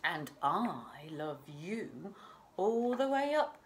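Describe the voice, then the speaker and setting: A woman voicing a big, gentle, loving parent hare from a storybook, with slow, drawn-out, emphatic words. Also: lowish voice, big pitch swings, a little hiss or hum, heavily acted. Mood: playful, loving.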